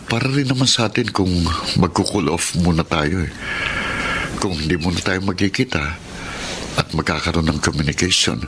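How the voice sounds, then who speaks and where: Patient, apologetic young Filipino boyfriend for radio romance male performer; low register, polite, careful delivery. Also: normal speed, long pauses, natural voice. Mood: hesitant, soothing. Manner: placating, hesitant, polite. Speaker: radio drama actor. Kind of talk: Philippine radio romance drama acting. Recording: noisy radio drama broadcast.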